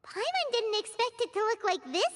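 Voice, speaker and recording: A woman voicing a tiny, childlike, naive fairy companion in an anime video game, squeaky and chirpy. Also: lively intonation, a little fast. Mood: curious. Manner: refers to self in third person. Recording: game music behind the voice.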